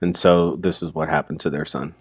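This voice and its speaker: A man's voice: rumbling low, fairly even intonation, fairly quick, breathy edge, dry recording, muffled recording. A man giving casual, explanatory commentary on a story, like a teacher.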